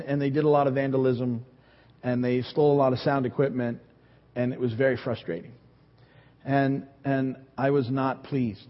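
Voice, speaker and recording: A man in his fifties preaching a sermon, telling a story about himself with self-deprecating humor. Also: mid-range voice. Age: 50s. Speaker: pastor. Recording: dull sound in a reverberant hall.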